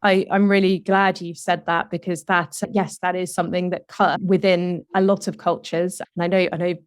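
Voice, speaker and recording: A woman's voice: on the low side, level tone, moderate pace, clean tone, dry recording, studio quality. A woman hosting a podcast, asking open questions in an encouraging way. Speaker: podcast host. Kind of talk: podcast interview.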